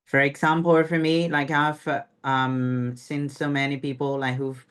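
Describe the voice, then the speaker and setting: A man's voice: medium pitch, level tone, measured pace, a bit bright, clean tone. A young man from Myanmar with a Burmese accent, a teacher educator presenting a webinar over a video call, hesitant with many fillers.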